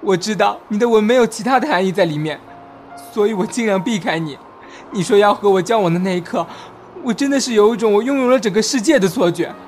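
A shy, lovestruck young man, played by a male actor in a romantic audio drama. He speaks softly and hesitantly, with earnest insecurity, over background music. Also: high voice, quick pace, close to an everyday voice. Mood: hurt, resigned. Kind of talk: Chinese audio drama acting with inner narration. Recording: music behind.

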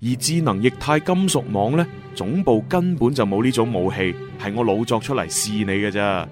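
A man's voice: mid-range voice, normal speed, music in the background, natural voice. A man with a Hong Kong Cantonese accent acting a boastful, crafty senior agent from the future in a sci-fi radio drama. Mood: smug, revealing.

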